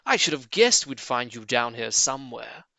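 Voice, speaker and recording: A man voicing a suave, confident father fox in a storybook, theatrical and deliberate. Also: mid-range voice, big pitch swings, creaky, bright, forceful tone, no echo, full character voice. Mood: sly, menacing. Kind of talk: storybook character voice.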